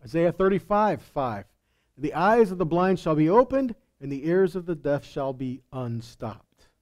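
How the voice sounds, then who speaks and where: A man's voice: fairly high pitch, big pitch swings, measured pace, a little mellow. A middle-aged man preaching a teaching sermon, emphatic, with scripture readings and rhetorical questions. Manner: emphatic, asks rhetorical questions and answers them. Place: indoor stage of a congregation hall. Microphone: headset.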